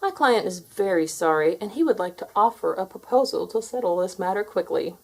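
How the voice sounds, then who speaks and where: A woman's voice: medium pitch, lively intonation, fairly quick. A woman voicing a polite, hesitant female lawyer pleading formally in a children's storybook. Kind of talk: children's storybook read aloud.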